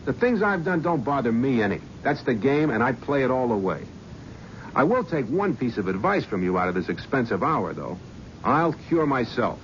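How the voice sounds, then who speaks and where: A man's voice: medium pitch, big pitch swings, moderate pace, slight background noise, lightly acted. Man as tough middle-aged agent, blunt, dismissive, defiant, vintage muffled radio sound. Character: brash, hard-bitten middle-aged talent agent. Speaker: talent agent. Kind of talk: 1970s radio drama acting. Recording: dull vintage radio broadcast.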